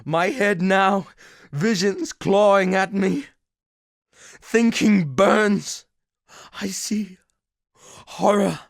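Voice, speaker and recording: An actor playing a grave, bitter writer in a game, delivering dramatic monologue in a solemn, emphatic way. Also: male voice, sits high, extremely slow, gravelly, long pauses, no room sound, clean studio sound, heavily acted. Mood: anguished, tormented.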